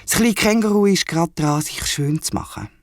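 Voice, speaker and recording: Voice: medium pitch, moderate pace, dry recording, faint music behind. A storyteller with a Swiss German accent, narrating a scripted children's audio story.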